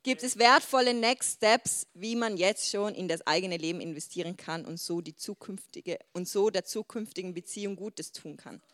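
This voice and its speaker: A young woman in her twenties gives an informal talk to a group. She has a Swiss German accent and a mid-pitched voice. Her manner is chatty, with English words mixed into her sentences. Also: normal speed, few pauses, a bit bright. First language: Swiss German dialect. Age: 20s. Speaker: youth group speaker.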